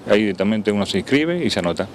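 A man in his fifties with an Argentine accent answering a TV interview, pausing as he lists things. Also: lowish voice, lively intonation. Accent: Argentine Spanish. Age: 50s. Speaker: trade fair organizer being interviewed. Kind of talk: on-location TV interview. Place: outdoors beside a building near a road. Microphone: handheld interview microphone. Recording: outdoor street and traffic noise.

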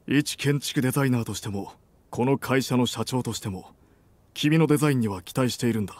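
A man in his thirties playing a suave, assertive company president and boyfriend in an intimate romance audio drama, soft and breathy, gentle yet commanding. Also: mid-range voice, fairly quick, no room sound, close to an everyday voice. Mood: businesslike, keen. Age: 30s.

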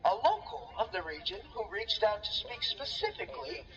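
A woman's voice: mid-range voice, sing-song, normal speed. A woman playing an eager, showy 1920s newspaper reporter, speaking like a formal old-time radio announcer with theatrical curiosity. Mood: showy, excited. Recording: distant, dull, echoing radio-like sound, music behind.

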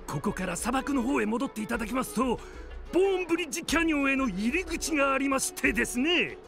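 High creaky groveling game villain, super polite and cowardly, music behind him. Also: male voice, very animated, quick pace, a bit bright, full character voice. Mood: sheepish, fawning. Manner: obsequious, exaggeratedly polite. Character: grovelling, cowardly comic crook, fawningly polite. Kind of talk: animated game cutscene acting.

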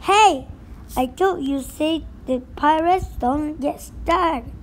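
Woman playing scared little kindergarten kids, high and slow, whimpering and plaintive. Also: slight background noise. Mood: sassy. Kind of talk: storybook read-aloud.

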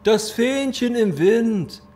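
An actor playing a smug, genteel, menacing gang boss in an audio drama, pompous and mockingly polite. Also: male voice, extremely high pitch, animated, takes it slowly, darkish tone, soft background music, full character voice. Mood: smooth menace. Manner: mock-polite, pompous, taunting. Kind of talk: German audio drama acting.

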